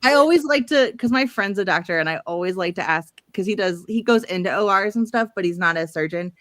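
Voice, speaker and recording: A woman's voice: mid-range voice, expressive, a little fast, fairly bright tone, no room sound. A woman from Illinois hosting a casual reading stream, chatting with friends and reading out viewer comments. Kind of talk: casual group chat.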